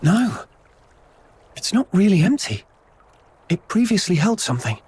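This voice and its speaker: Earnest, high-pitched young hero. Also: male voice, sing-song, measured pace, a little mellow, husky, exaggerated voice. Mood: thoughtful, uneasy. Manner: earnest, intent. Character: earnest young male hero, caring, impulsive. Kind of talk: video game voice acting.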